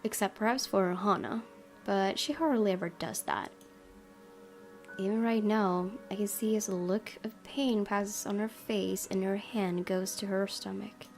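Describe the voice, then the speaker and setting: A woman's voice: medium pitch, unhurried. A woman hosting a gaming video, reading visual novel narration aloud. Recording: game music behind. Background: music.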